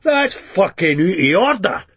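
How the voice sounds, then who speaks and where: Audiobook: man voicing a combative Scottish thug, raised-pitch, husky, swearing and snapping. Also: expressive, slow pace, a bit bright, no room sound, heavily acted. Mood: aggressive. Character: volatile, aggressive young Scottish hard man.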